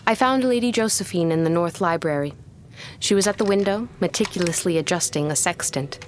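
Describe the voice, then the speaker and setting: Young female tutor played by an actress, audio drama: lowish voice, formal, precise, guarded, earnest. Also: brisk, a little hiss or hum, natural voice. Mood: reflective. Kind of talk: audio drama acting with formal first-person narration.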